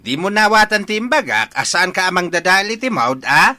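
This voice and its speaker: Radio drama heavy: male actor as blustering middle-aged bully of a father, highish forceful voice, very animated. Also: a little fast, full character voice. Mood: irritated, bossy. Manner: taunting, blustering. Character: menacing, hot-tempered, mocking father figure who bullies people. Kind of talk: Ilocano radio drama acting.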